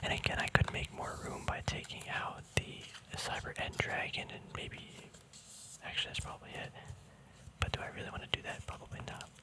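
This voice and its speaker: A man speaking in a breathy, unvoiced whisper, rambling casually in ASMR style as if thinking aloud. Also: a little fast, rough recording. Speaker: ASMR video creator. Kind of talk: ASMR, casual rambling commentary on a card deck.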